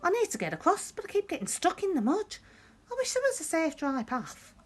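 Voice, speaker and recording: Woman voicing a high, whining storybook dog. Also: wildly swooping pitch, fairly quick, full character voice. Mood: whining, plaintive. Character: forlorn dog stuck beside a bog.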